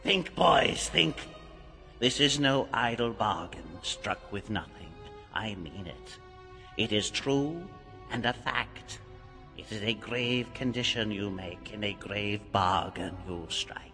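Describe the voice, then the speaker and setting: An actor playing a mysterious, grave bargain-maker in a dramatized audiobook, coaxing and solemn in deliberate, theatrical phrases. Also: male voice, on the low side, expressive, pushed and bright, exaggerated voice. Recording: long hall reverb, music underneath.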